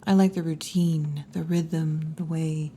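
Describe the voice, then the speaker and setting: Woman acting as tomboy wolf girl bartender, ASMR roleplay, slow breathy whispers, dark mellow tone, teasing, faint background noise. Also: low-pitched, steady tone. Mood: relaxed, warm. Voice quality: breathy, mostly whispered or half-whispered. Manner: slow, hushed, teasing, with long pauses. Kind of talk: ASMR roleplay, intimate scripted monologue. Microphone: close ASMR microphone.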